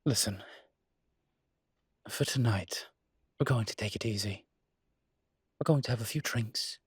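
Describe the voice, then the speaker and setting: A man playing a suave ancient demon professor in an intimate roleplay audio drama, with a husky, breathy low voice and a dry, teasing, urbane manner. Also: measured pace, dry recording. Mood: gentle, reassuring.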